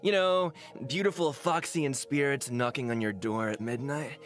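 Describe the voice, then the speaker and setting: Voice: medium pitch, moderate pace, soft background music, natural voice. An actor voicing a cheeky, irreverent mascot co-host of a video game program, with playful, brash banter. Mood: disappointed, teasing. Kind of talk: scripted comic co-hosting for a game program.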